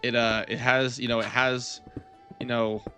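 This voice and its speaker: A man's voice: medium pitch, flat delivery, unhurried, pushed and bright, soft background music. A young man chatting casually as he hosts a gaming livestream, rambling and thinking aloud as he answers his viewers. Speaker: livestream gamer host.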